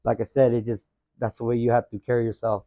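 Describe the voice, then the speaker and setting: A man's voice: mid-range voice, flat delivery, fast talker, warm tone, no echo, dull audio. A man on a podcast, speaking earnestly and often saying "you know what I mean". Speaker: podcast guest. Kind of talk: casual chat.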